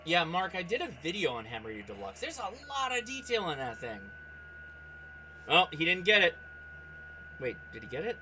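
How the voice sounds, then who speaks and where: A man with a bright, forceful and slightly high voice hosts a casual hobby livestream. He is excitable, commenting aloud as he goes and talking straight to his viewers. There is faint noise behind him. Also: moderate pace, pauses often. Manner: excitable, reacts aloud, talks to viewers and contacts by name. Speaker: host of a ham radio livestream. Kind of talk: casual livestream chat and running commentary while operating radio.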